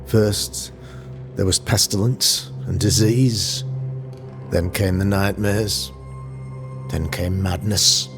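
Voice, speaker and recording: An actor playing a solemn, self-regarding older town governor in a video game, speaking in formal, measured, archaic phrasing. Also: male voice, sits low, fairly even intonation. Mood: grave. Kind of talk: dramatic video game acting. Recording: music under the voice.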